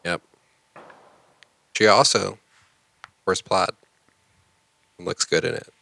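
Deep-voiced, blunt male podcast co-host. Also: steady tone, slow pace, gravelly, sharp tone, no room sound. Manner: blunt, opinionated, profane. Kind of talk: casual anime podcast banter.

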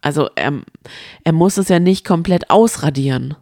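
A woman's voice: sits low, moderate pace, darkish tone, no echo, clean studio sound. A woman co-hosting a casual, gossipy chat about reality TV, telling stories with strong opinions. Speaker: podcast co-host.

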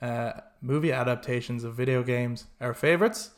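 A man co-hosting a podcast, chatting casually with animated, sweary rants and acted-out reactions. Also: medium pitch, normal speed. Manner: animated, sweary ranting, acts out imagined reactions. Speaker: podcast co-host. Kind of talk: casual chat about films and games.

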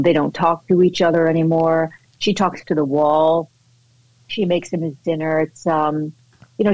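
A woman's voice: deep voice, a little mellow, dry recording, poor audio. A woman actress chatting in an interview, effusive and full of fillers and restarts. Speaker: actress guest. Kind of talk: casual interview chat.

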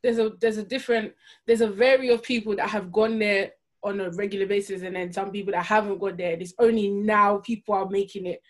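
A woman's voice: medium pitch, steady tone, fast talker. A woman in casual podcast chat, talking in a chatty, slangy way and laughing as she speaks.

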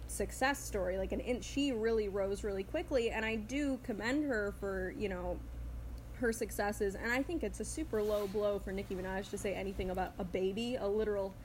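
A woman's voice: mid-range voice, brisk, a little mellow, a little hiss or hum, low-quality recording. A woman, an artist, chatting casually as a podcast guest in an easygoing way. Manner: easygoing, says "like" a lot. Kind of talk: casual chat.

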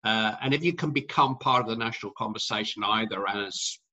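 Bright-voiced middle-aged man, dull lo-fi. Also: fairly low pitch, brisk. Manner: rambling, many restarts and fillers. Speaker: sports commentator guest. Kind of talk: conversational interview answers.

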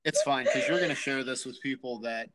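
Man, American accent, podcast host, easygoing, laughs a lot, middle pitch. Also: fairly quick, a crowd in the background. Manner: easygoing, laughs often, uses many likes. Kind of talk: casual interview chat.

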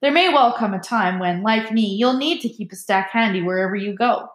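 A woman's voice: medium pitch, brisk, echoey room, natural voice. A woman voicing a vain, patronising male celebrity teacher in a storybook, jovial and pompous. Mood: paternal, smug.

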